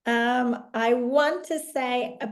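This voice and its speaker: A woman's voice: a little high, fairly even intonation, slow. A woman hosting a podcast interview in a casual chat style, her voice still worn from a recent illness, friendly and rambling with restarts. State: recovering voice after illness. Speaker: podcast host.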